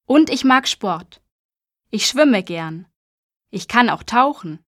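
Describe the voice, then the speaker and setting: Casting: an upbeat sporty girl, slow and dry. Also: fairly high pitch, lively intonation, fairly bright tone, no echo. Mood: cheerful. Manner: slow, clear self-introduction. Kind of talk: scripted textbook audio.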